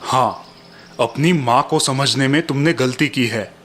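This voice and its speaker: A man's voice: medium pitch, measured pace, fairly bright tone. A man voicing a stern but caring teacher in a cartoon moral story, firm and instructive. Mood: solemn, instructive.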